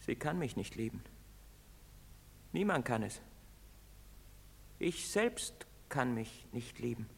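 A slow, sullen young man. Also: medium pitch, sing-song, creaky, slightly rough, heavily acted. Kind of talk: radio play acting.

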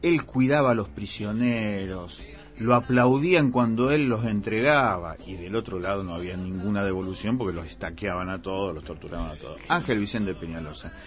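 A man's voice: fairly low pitch, fairly quick, faint music behind. A man, co-hosting a radio show and telling stories emphatically. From Argentina. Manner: storytelling, emphatic, pace swings. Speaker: radio co-host. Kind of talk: casual opinionated chat.

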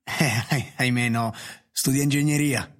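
An actor playing an anxious, homebound doctor in a radio drama, with a hesitant, stammering delivery. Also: male voice, medium pitch, moderate pace, lightly acted. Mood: halting, friendly. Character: middle-aged doctor, anxious, homebound gentleman of future. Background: music.

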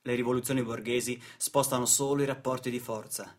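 A man narrating a historical radio theatre piece in a deliberate, declamatory way. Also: medium pitch, fairly even intonation, natural voice. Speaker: stage narrator and actor.

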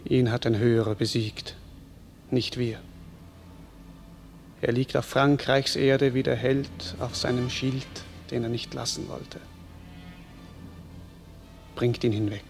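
An actor playing a grave nobleman in a radio play, speaking slowly and solemnly. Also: mid-range voice, fairly even intonation, creaky, soft background music. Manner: slow, grave pronouncement.

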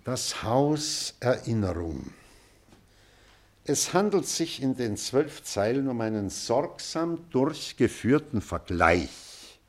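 An elderly schoolmaster holds forth in a radio drama. He is pedantic and commanding with a wistful streak, taking his time, declaiming with a very lively rise and fall in a mid-pitched voice. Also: slow pace. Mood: pedantic, deliberate. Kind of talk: German radio play acting, lecturing.

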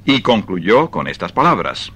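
Deep, animated male radio storyteller. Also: a little slow, husky. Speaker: narrator. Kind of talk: scripted radio drama storytelling narration.

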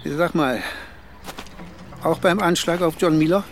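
Higher-voiced, chummy middle-aged detective. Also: male voice, slight background noise. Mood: probing. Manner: familiar, probing, halting. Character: insistent, chummy middle-aged police investigator. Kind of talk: German radio crime drama acting.

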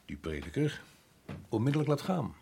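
An actor playing a stern, principled Dutch colonial official in a radio drama, formal and probing, with a husky voice. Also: male voice, medium pitch, very animated, moderate pace, mellow, slight background noise. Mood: firm, challenging.

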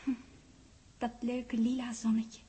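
Casting: dreamlike young woman of memory, high soft-toned voice, tender and teasing, vintage radio play. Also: monotone, measured pace, breathy edge. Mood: playful, coaxing. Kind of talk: 1960s radio drama acting.

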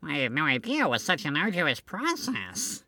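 Bright, animated woman, eager banter. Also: deep voice, normal speed, no echo. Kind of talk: scripted comic banter.

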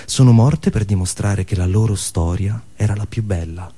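A man delivering a theatrical storytelling monologue, posing rhetorical questions and using deliberate dramatic pauses. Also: on the low side, dark tone, slightly rough. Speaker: monologue performer.

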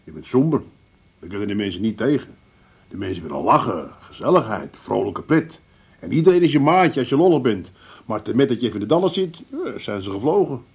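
An actor playing a level-headed, wry pub friend with an Amsterdam accent in a comic radio drama. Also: male voice, medium pitch, big pitch swings, brisk, creaky, slightly rough, exaggerated voice. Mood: dry, matter-of-fact. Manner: matter-of-fact, coaxing, dry. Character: calm, wry male pub regular, mediating friend.

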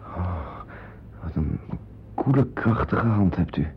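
A man's voice: animated, slow pace, exaggerated voice. An actor playing a dazed, apologetic man just woken from a nightmare in a radio play, speaking hesitantly. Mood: shaken, grateful. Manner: hesitant, faltering. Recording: dull, faintly noisy, rumbling radio recording.

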